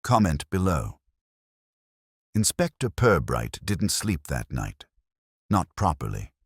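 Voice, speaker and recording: Very deep-voiced male story narrator. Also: sing-song, slow pace, husky, no room sound, clean studio sound. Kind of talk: scripted mystery story narration.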